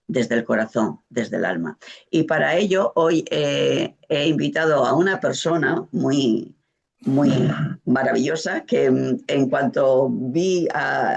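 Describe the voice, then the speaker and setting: A man hosting a conversational interview, speaking haltingly as he searches for words. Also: medium pitch, vocal fry. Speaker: host.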